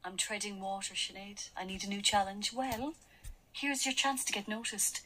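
Teasing, practical coworker. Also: female voice, medium pitch, little pitch movement, moderate pace, bright, forceful tone, low-quality recording. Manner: teasing, matter-of-fact. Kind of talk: radio drama acting.

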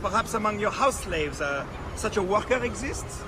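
A man's voice: high-pitched, animated, normal speed, background noise. A man playing an officious governor's messenger in a game, speaking hesitant, formal officialese. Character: officious male messenger relaying the governor's demands. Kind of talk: overheard game dialogue. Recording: loud outdoor ambience over voice.

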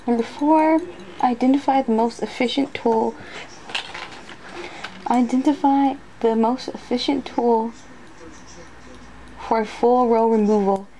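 A woman with a fairly high, soft voice performs an ASMR roleplay, often dropping into a breathy whisper. She mutters hesitantly to herself as an unsure student. The close-miked recording has clear background noise. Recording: noisy close recording with clicks.

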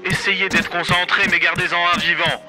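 An actor playing a theatrical, smug spy handler narrating a game in a radio comedy. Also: male voice, high-pitched, little pitch movement, normal speed, music in the background, close to an everyday voice. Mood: instructing. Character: theatrical male spy handler, smugly instructing.

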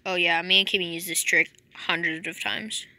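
A woman's voice: on the low side, steady tone, moderate pace, bright, forceful tone. A young woman hosting a casual gaming chat at home, rambling and joking, recorded on a phone. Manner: jokey, rambling, self-correcting with "just kidding". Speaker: channel host. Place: home bedroom.

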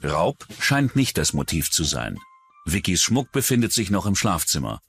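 A man's voice: low-pitched, very animated, normal speed, fairly bright tone, faint music behind. A man delivering scripted true-crime documentary narration as the narrator.

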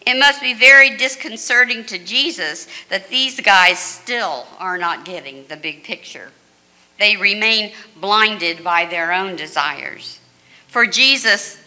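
An older woman pastor delivering a measured, earnest sermon at a lectern mic in a church sanctuary. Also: mid-range voice, pushed and bright. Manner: measured, earnest preaching with dramatic quoted voices. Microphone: stand mic at a lectern, far camera. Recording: reverberant church hall.

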